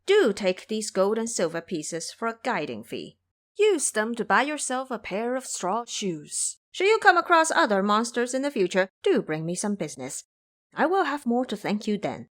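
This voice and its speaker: A woman voicing a cheeky, bossy male monkey disciple in an audio drama, brisk and commanding. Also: fairly high pitch, big pitch swings. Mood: teasing.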